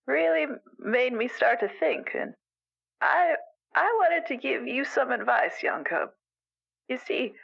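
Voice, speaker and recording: A woman playing an elderly, gentle animal weaver in an improvised radio call-in drama, rambling haltingly through folksy, wistful advice. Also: sits high, vocal fry, exaggerated voice. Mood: hesitant, kindly. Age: older. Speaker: caller to a radio show. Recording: dull lo-fi radio call sound.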